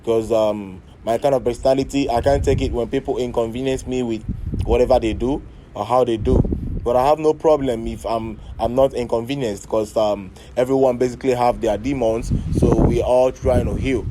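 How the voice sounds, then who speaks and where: A man with a Nigerian accent giving a thoughtful interview answer, pausing often with fillers. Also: mid-range voice, steady tone, noisy background. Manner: thoughtful, hesitant with many fillers. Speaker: artist contributor.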